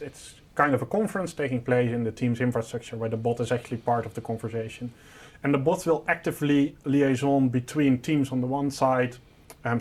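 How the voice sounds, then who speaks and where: A man's voice: medium pitch, darkish tone. A man presenting a product, explaining a technical solution in an informal, step-by-step and simplified way.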